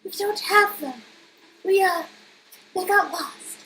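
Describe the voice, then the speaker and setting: A woman's voice: very high voice, slow pace, pushed and bright, heavily acted, poor audio. A woman voicing an anxious, hesitant young female ally, flustered but brave, in a dramatic game reading. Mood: nervous.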